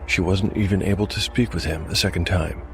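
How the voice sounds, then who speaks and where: A man narrating a scripted dark fantasy tale as game master, with measured storytelling delivery. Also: sits low, steady tone. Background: music.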